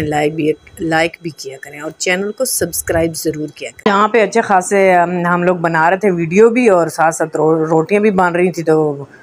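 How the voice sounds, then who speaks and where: A woman from Pakistan with a Pakistani accent narrates a casual vlog voice-over, chatting directly to her viewers. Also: fairly low pitch. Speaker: vlogger. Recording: noticeable background noise.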